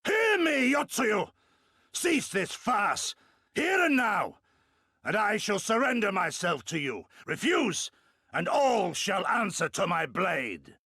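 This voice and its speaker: An actor playing a proud, stoic rebel samurai in a game, speaking in a formal, archaic, commanding way. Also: male voice, sits high, big pitch swings, slow pace, bright, forceful tone, husky, no room sound, clean studio sound, heavily acted. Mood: defiant command. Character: proud, defiant fugitive samurai rebel leader, solemn and stoic.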